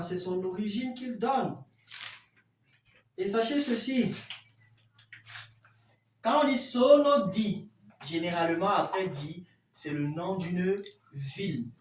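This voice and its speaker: Language teacher, mid-pitched and unhurried, didactic, repeating examples, recorded from a distance in a room. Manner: didactic, repeats example phrases slowly and deliberately. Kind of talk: classroom Italian lesson explained in French. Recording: roomy echo, distant mic, faint noise.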